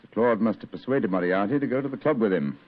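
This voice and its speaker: An actor playing a brilliant, commanding detective in a clipped, dramatic old radio drama style. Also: male voice, on the low side, expressive, fast talker, phone-quality sound, lightly acted. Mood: explaining, confident. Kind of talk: 1940s radio drama acting.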